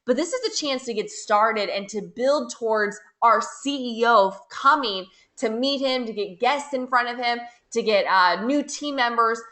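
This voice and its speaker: An American woman leading a network marketing team, giving an upbeat, persuasive webinar pitch with repeated phrases. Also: pushed and bright. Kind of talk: webinar sales presentation.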